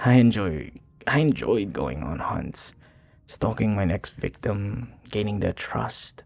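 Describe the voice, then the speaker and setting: A man playing a remorseful, flirty vampire bartender in an intimate ASMR roleplay, soft-spoken and hesitant, with a breathy, husky voice. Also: lowish voice, expressive, takes it slowly, heavily acted. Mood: regretful, confiding. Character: remorseful, flirty male vampire bartender confessing his past.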